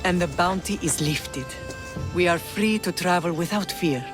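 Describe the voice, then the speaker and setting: A woman's voice: fairly low pitch, measured pace, over music, natural voice. An actress playing a stern, proud middle-aged Spartan mother in a video game, firm and dignified. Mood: firm, maternal. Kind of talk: video game cutscene acting.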